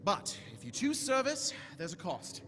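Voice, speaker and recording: A man playing a high-voiced, grandiose time-traveller. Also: huge pitch swings, normal speed, a bit bright, a little hiss or hum, heavily acted. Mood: firm persuasion. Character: eccentric, commanding, kindly male time-travelling alien adventurer. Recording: echoey stage hall with music.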